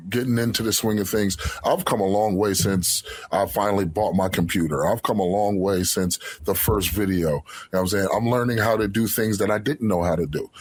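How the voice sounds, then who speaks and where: Low husky guy chatting earnestly about making fan videos, kinda boisterous. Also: no room sound. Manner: earnest, repeats "you know what I'm saying". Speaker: fan content creator and co-host. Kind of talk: casual video-call interview chat.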